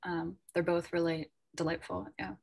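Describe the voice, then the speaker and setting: A woman's voice: fairly low pitch, little pitch movement, normal speed, gravelly, a little mellow, husky. A woman moderating an online panel as an editor, casual, tentative and appreciative, heard over a video call.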